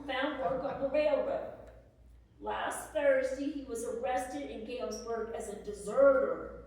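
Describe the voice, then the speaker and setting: A woman dramatically reading letters as a plainspoken, hardworking Civil War soldier's wife, worried but practical, homely and deliberate. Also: high voice, a little mellow. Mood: sorrowful. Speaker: reader at a historical presentation. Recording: echoey room, distant microphone.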